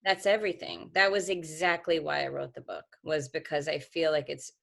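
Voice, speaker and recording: A woman with a low, gravelly voice talks as an author on a video call. She speaks earnestly and reflectively, often restarting her sentences. Her tone sits deep in her range. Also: fairly even intonation, moderate pace. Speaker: author being interviewed.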